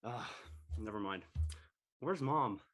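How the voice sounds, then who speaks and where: Here is a man playing a teenage son in a radio play. His voice sits fairly low. He comes across as exasperated and snarky, like a kid nagging his family for homework help. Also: expressive, slow, fairly bright tone, full character voice. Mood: annoyed.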